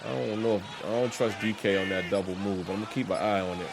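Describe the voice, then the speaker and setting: A man's voice: on the low side, fairly even intonation, fast, noisy background. A man streaming a football video game, talking casually through his own plays and thinking aloud, often repeating himself as he coaches himself along. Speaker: gaming streamer. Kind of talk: casual gameplay commentary.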